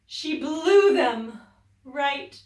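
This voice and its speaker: Expressive woman reading a children's story. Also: high-pitched, very slow. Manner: expressive, swinging from whispers to loud exclaims. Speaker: teacher. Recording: echoey room, distant mic.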